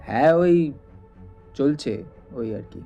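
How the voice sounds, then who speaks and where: A man's voice: fairly high pitch, expressive, takes it slowly, mellow, muffled recording, faint music behind. A man playing a weary, subdued middle-aged father in an audio drama, speaking in a heavy voice.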